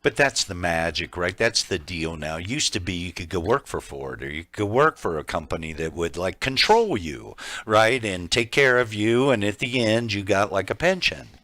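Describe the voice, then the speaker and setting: A man's voice: low voice, animated, normal speed, hardly any pauses, sharp tone, dry recording. A man on a talk show panel chatting casually and emphatically, often checking in with listeners. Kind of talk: casual chat.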